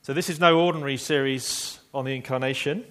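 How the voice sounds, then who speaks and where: A man preaching a sermon, telling a story earnestly and slowing down quietly for emphasis. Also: medium pitch, fairly even intonation.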